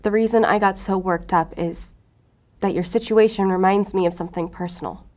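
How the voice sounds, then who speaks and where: A woman's voice: medium pitch, fairly even intonation, fairly quick, a little mellow, close to an everyday voice. An actress playing an earnest, determined female agent in an audio drama, pleading and pressing. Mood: earnest probing. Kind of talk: audio fiction drama acting.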